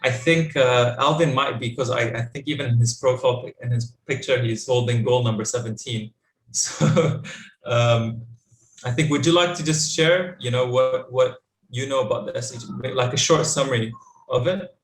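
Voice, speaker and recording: A young man moderating a youth panel over a video call, halting with many fillers. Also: medium pitch. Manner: halting, full of ums and you-knows. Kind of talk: hesitant casual moderating. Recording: echoey room.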